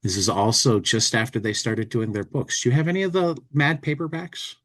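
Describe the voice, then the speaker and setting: A man hosting a podcast in casual chat, rambling and halting, often restarting his phrases. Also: medium pitch, fairly bright tone. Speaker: podcast host.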